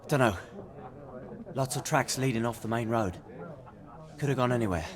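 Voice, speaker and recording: An actor playing a surly village tavern drinker in a video game, curt and rustic in manner. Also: male voice, mid-range voice, normal speed, lightly acted. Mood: flat, compliant. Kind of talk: video game cinematic acting. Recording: loud crowd chatter behind the voice.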